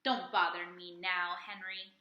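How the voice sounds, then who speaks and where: A woman's voice: lowish voice, flat delivery, a little slow, roomy sound, highs cut off, pitched below the performer's own voice, close to an everyday voice. A woman voicing a taunting, brusque male pirate rabbit crewmate in a storybook. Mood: dismissive.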